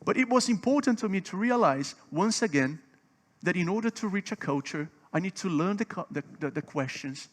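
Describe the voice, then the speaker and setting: Guy giving a seminar talk, bit high pitched, gets loud and emphatic, asks the crowd stuff. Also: expressive, a little fast. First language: Portuguese. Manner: emphatic, swelling louder on key points; asks the audience questions. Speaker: seminar speaker. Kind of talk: conference seminar teaching.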